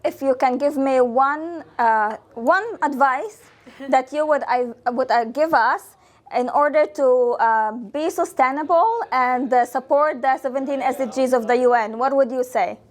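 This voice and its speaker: A woman's voice: high voice, measured pace. A woman interviewing prize winners at an event, asking encouraging, enthusiastic questions.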